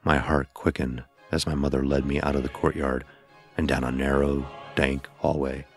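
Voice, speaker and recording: A man playing a blind son who narrates his dark ordeal in a solemn, literary way, as horror drama. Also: a bass voice, little pitch movement, moderate pace. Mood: somber. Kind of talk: scripted first-person horror narration. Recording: voice over background music.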